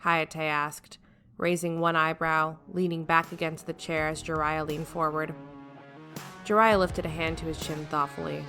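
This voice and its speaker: Bright-voiced woman narrating a story. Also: sits low, little pitch movement, a little slow, soft background music. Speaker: audiobook narrator.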